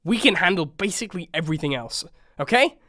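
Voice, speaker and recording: A man's voice: high voice, lively intonation, fast talker, pushed and bright, dry recording, studio quality. A man playing an earnest, modest horse who leads a band in an audio story, with a mild, hesitant manner. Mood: upbeat, decisive. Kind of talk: children's story character acting.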